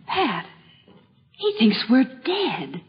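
A woman's voice: on the high side, wildly swooping pitch, drawn-out words, slightly rough, full character voice. An actress playing a wistful, polite young woman, hesitant and wondering, in old-time radio drama style. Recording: dull vintage radio recording.

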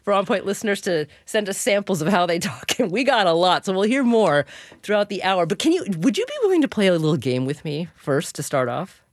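A woman's voice: mid-range voice, big pitch swings, fairly quick, no echo. A woman hosting a public radio interview show, conversational and repeating words as she thinks aloud on a studio microphone.

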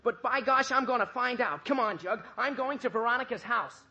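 A man's voice: a little high, sing-song, moderate pace, heavy background noise. An actor playing an eager, lovestruck and melodramatic teenage boy in an old-time radio comedy. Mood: dismayed. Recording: dull vintage radio recording.

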